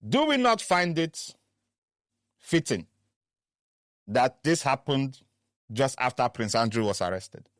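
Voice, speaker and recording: A man's voice: mid-range voice, huge pitch swings, slow pace, pauses often, a bit bright, dry recording, studio quality. A man from Nigeria co-hosting a podcast, talking casually and earnestly, building his arguments step by step. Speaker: podcast co-host. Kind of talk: casual chat.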